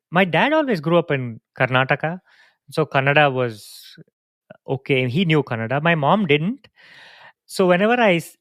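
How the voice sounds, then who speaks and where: A man from India in a relaxed, reflective podcast conversation, telling family stories. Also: on the high side, no echo, clean studio sound. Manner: reflective, storytelling. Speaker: podcast co-host. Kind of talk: casual conversation.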